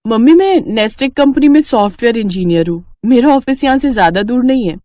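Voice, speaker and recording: Woman acting as young daughter-in-law, lifted pitch, meek, respectful, dutiful, family storybook dialogue, dry recording. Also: animated, brisk, warm tone, no room sound, lightly acted. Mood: polite, matter-of-fact.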